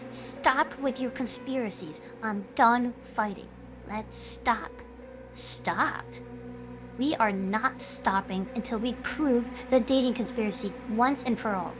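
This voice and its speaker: A woman's voice: fairly high pitch, close to an everyday voice. A woman acting out a troubled young streamer and her scheming alter ego in a scripted, emotional drama. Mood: distressed, pleading. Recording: noisy background ambience. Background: ambient noise.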